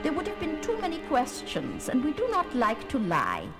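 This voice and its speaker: An actress playing a frank, coolly resolved alien doctor in a science fiction audio drama, speaking in formal, measured phrases. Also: female voice, sits high, wildly swooping pitch, heavily acted. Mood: resolute, formal. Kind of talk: dramatic sci-fi audio play acting. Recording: loud music under the dialogue.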